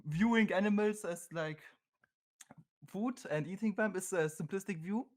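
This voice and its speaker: A man's voice: high-pitched, normal speed. A man from Germany with a German accent, a non-native English speaker debating on a call, hesitant and searching for words. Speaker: caller.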